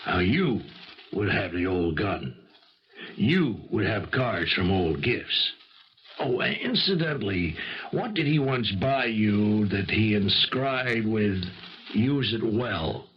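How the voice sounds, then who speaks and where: An American actor playing a shrewd, insinuating police lieutenant in a 1970s radio mystery, folksy and wry, full of leading questions. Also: male voice, lowish voice, animated, slow pace. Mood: slyly probing. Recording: muffled, hissy 1970s radio recording.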